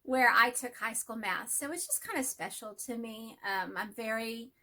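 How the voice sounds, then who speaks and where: Middle-aged Texan woman, nasal, bright, chatty self-deprecating vlogger. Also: a little high, normal speed. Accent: Texas. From Texas. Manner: rambling, friendly, self-deprecating. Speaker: hobby vlogger and math teacher. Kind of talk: casual chat to camera.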